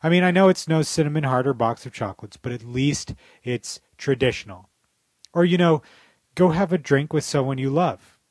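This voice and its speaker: A man's voice: on the high side, moderate pace, a little mellow, no echo, clean studio sound. A man co-hosting a podcast, talking in a wry, sardonic, emphatic way. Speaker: podcast co-host. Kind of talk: scripted opinion monologue and casual podcast chat.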